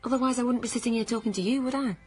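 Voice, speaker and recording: A woman's voice: a little high, rapid-fire, husky, soft background music. An actress playing a troubled, stubborn pregnant career woman, earnest and defensive, in naturalistic radio drama. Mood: explaining, defensive.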